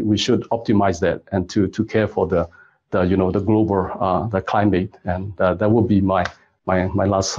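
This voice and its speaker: A middle-aged man from Burma, answering interview questions in a halting, gentle way as he searches for his words. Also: fairly low pitch, muffled recording. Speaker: guest expert.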